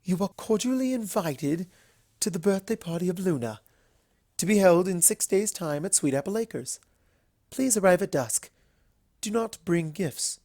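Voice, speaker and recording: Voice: medium pitch, lively intonation, unhurried, a little mellow, no room sound, clean studio sound, pitched above the performer's own voice. A narrator voicing a refined adult female cartoon unicorn who is genteel, weary and strained in politeness. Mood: reading aloud.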